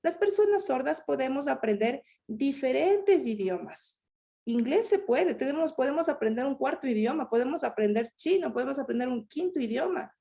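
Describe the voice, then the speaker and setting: Woman interpreter, high mellow voice, short explanatory phrases on a video call. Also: animated, normal speed, gravelly. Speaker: sign language voice interpreter. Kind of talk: live voicing of a signed talk.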